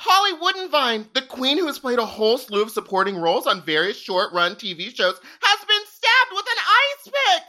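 A woman's voice: high voice, big pitch swings, moderate pace, few pauses, pushed and bright, heavily acted. A woman playing an anxious, theatrical young drag queen contestant in a campy comedic audio drama. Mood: panicked, wailing. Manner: hesitant, theatrical, wailing.

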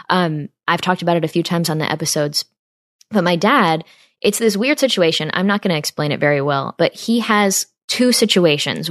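Earnest low-voiced young woman. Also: no echo, studio quality. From upstate New York. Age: 20s. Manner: earnest, persuasive, addresses listeners directly. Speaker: podcast host. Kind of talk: casual monologue.

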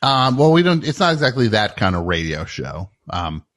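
A man's voice: on the low side, very animated, moderate pace, fairly bright tone. A man hosting a comedic call-in radio show, teasing callers and repeating words when incredulous.

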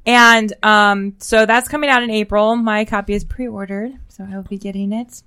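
A woman's voice: mid-range voice, steady tone, moderate pace, sharp tone, clean tone. An American woman co-hosting a podcast, chatting casually about books in informal speech full of "like". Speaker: podcast co-host discussing upcoming books. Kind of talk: casual book chat.

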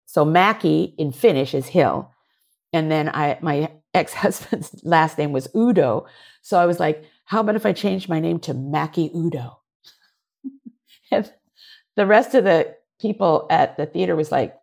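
A woman actor being interviewed, telling lively stories, often laughing and briefly acting out voices. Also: sits low, unhurried, gravelly. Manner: animated, laughing, acts out voices and gestures. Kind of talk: conversational interview storytelling.

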